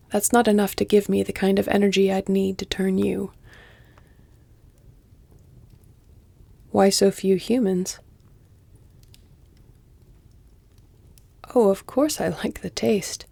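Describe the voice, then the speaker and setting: Woman acting a centuries-old female vampire, audio roleplay, dark mellow tone, very close dry sound, measured, teasing, breathy near-whispers. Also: mid-range voice, no echo. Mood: assured, flirtatious. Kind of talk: intimate audio roleplay, scripted dramatic acting. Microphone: close, dry studio-style mic.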